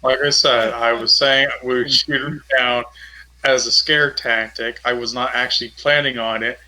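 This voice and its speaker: A man's voice: mid-range voice, fairly even intonation, normal speed, pushed and bright, rough recording. A man playing a tabletop role-playing game, chatting casually in character with the other players.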